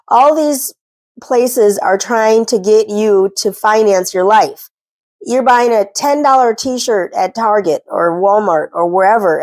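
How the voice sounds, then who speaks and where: A woman's voice: mid-range voice, darkish tone, no room sound. A woman with a cold talking from home, giving chatty, earnest advice about getting out of debt in a self-deprecating way. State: congested from a cold. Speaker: personal finance vlogger. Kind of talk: casual talking-head advice.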